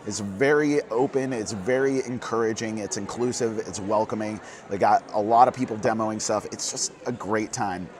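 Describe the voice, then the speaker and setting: A hoarse man hosting a casual board game news roundup, earnest and quick, getting fired up at times. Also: lowish voice. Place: busy convention hall.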